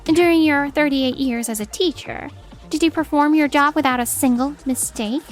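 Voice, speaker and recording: Lady doing a cool firm lawyer lady for a manga dub, formal, measured, music faintly behind. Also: sits high, lightly acted. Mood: coolly cutting. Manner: formal, measured, coolly assertive. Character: composed, steely female attorney confronting a husband. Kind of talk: acted manga dub dialogue.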